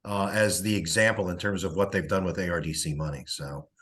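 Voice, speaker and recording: A man's voice: low-pitched, fairly even intonation, a little fast, fairly bright tone. An American man talking informally in a meeting, hesitant and rambling as he goes. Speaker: organization representative in meeting. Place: online video or teleconference.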